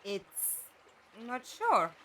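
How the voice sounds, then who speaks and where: A woman's voice: a little high, wildly swooping pitch, takes it slowly, a bit bright, a little hiss or hum, heavily acted. A woman acting in an audio drama as a blunt, slightly sardonic companion who asks direct questions. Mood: questioning, wry.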